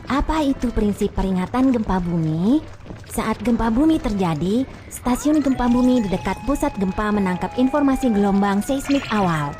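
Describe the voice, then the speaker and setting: Friendly young cartoon tour guide voiced by a woman, patient and clear, a bit high, mellow tone. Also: lively intonation, normal speed, faint music behind, natural voice. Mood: explaining. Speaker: cartoon guide explaining earthquake warnings. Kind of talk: animated kids' educational cartoon dub.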